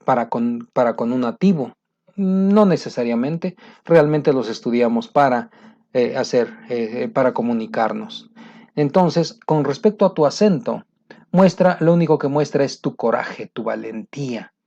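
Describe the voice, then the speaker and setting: Middle-aged Latin American man from a teaching video, conversational, plenty of filler pauses, mellow tone, muffled lo-fi sound. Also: medium pitch, expressive, creaky. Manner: conversational, pausing often with fillers. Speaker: English teacher. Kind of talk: motivational video lesson. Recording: muffled lo-fi recording.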